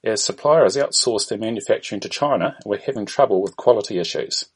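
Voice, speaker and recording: A man's voice: fairly low pitch, lively intonation, fast, husky. A man voicing an apologetic, officious fleet bureaucrat who is defensive in a comedy sketch. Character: apologetic bureaucratic Starfleet official making excuses.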